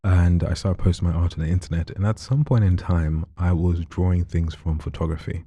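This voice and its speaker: A man with a very deep, very dark voice and a breathy, husky texture. He never raises his volume and stays calm and quiet throughout, and the sound is close and dry with no room around it. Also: a little fast, dry recording, highs cut off. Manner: quiet, calm, soft-spoken. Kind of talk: relaxed conversational storytelling.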